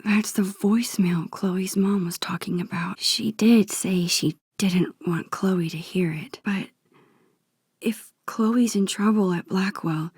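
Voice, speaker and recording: A woman playing a shy, sweet, nostalgic teenage girl in a video game, musing softly and breathily to herself. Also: mid-range voice, slow, no echo, studio quality, heavily acted. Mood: hesitant, worried. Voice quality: soft, breathy, hushed. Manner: thoughtful, murmured musing to herself. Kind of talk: introspective game character monologue.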